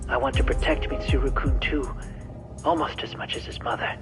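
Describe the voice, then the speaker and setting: Man acting as measured, resigned fugitive, music behind. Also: mid-range voice, steady tone, lightly acted, poor audio. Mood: somber, resolute. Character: resigned, soft-spoken fugitive man confronting a woman with guilt. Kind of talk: dramatic video game cutscene acting.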